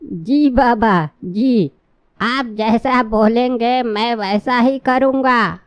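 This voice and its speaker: A woman's voice: a little high, drawn-out words, dry recording. A woman voicing a worried, trusting old village father in a cartoon, with a plaintive, deferential manner. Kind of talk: cartoon dialogue.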